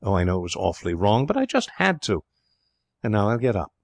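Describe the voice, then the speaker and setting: A man's voice: lowish voice, very animated, fast, vocal fry, no room sound, full character voice. A man voicing a spirited, petulant young woman in a storybook, with an emphatic, gushing manner. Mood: defiant.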